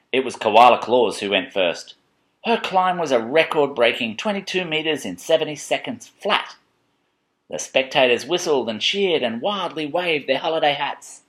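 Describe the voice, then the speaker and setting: A man reading a children's picture book aloud in an expressive, playful way, with chatty asides to a child. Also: mid-range voice, a little slow. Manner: expressive, playful, dramatic storybook emphasis. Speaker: parent reading a bedtime story to a child.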